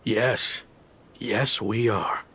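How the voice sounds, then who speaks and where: A man's voice: on the low side, steady tone, very slow, pushed and bright, husky, full character voice. A man voicing a confident, earnest pro wrestler with a wry streak in a video game cutscene.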